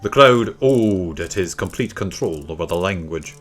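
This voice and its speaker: A man's voice: deep voice, lively intonation, moderate pace. A man narrating a children's story from a script. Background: faint music.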